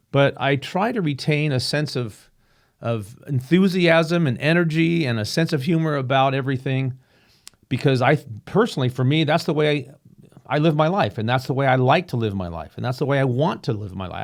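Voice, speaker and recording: A man hosting his own podcast, talking earnestly and reflectively, with frequent restarts and a slightly rough voice. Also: mid-range voice. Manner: earnest, halting, with many restarts and fillers. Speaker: podcast host. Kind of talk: reflective solo podcast monologue. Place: home room with a painting behind him.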